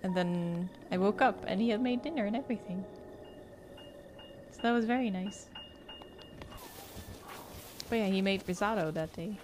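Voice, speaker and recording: A woman's voice: medium pitch, noisy background. A young woman in her twenties chatting casually and giggling while streaming games at home. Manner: giggly, unhurried. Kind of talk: casual chat while gaming.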